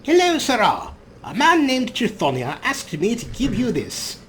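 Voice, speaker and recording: A man voicing a pompous, posh gentleman with a high, plummy, affected voice. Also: huge pitch swings, a little slow, slight background noise, exaggerated voice. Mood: haughty.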